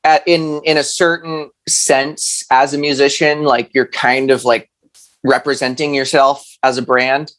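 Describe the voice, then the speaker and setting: Male podcast guest, medium-pitched, conversational and self-effacing, feeling his way through sentences in relaxed chat. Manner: halting, self-deprecating, conversational. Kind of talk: casual chat.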